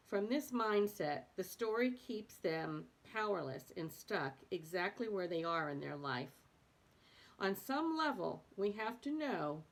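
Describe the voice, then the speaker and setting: A woman hosting a read-aloud, sharing a self-help book in a casual, slightly halting way with small self-corrections. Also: mid-range voice. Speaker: host of a read-aloud series.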